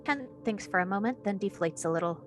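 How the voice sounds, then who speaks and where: A woman's voice: mid-range voice, a little fast, soft background music. A woman reading stage directions as the narrator of a screenplay table read.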